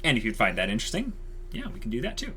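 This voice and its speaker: A man's voice: medium pitch, huge pitch swings, racing, bright, forceful tone, a little hiss or hum. A man hosting a channel, talking casually to viewers in a wordy, explanatory way full of qualifiers. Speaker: channel host.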